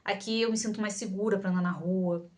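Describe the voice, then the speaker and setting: A woman's voice: medium pitch, fairly even intonation, fairly quick, darkish tone. A young adult Brazilian woman with a Carioca accent, answering followers' questions in an informal, conversational way, often checking in with listeners. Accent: Carioca Brazilian Portuguese. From Rio de Janeiro, Brazil. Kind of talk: casual talk to camera, Q&A.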